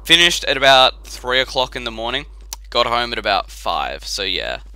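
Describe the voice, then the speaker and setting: Croaky, sharp-voiced young man commentating a game. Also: medium pitch, very animated, normal speed, dry recording. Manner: chatty and rambling, with filler words. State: croaky, tired after a late night. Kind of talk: casual game replay commentary.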